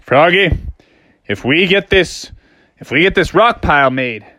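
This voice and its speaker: A man voicing a big, steady Old West sheriff with a folksy, commanding cowboy drawl in a children's story. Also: fairly high pitch, no echo.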